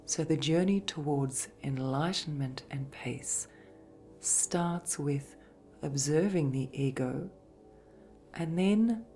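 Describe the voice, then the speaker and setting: A woman gently narrating a guided sleep meditation in a soft, breathy, soothing voice, with measured phrases and long pauses. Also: low-pitched. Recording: voice over background music. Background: meditation music.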